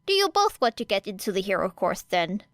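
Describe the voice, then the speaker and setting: A woman reading a fan audiobook, voicing a calm, kind teenage girl who speaks gently and matter-of-factly. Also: mid-range voice, brisk, no echo. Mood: curious.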